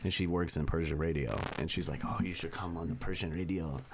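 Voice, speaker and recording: A young man, a rapper, talking in a casual interview with a deep voice and a laid-back, slangy, candid manner. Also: steady tone, fast talker, crowd noise. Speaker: rapper guest.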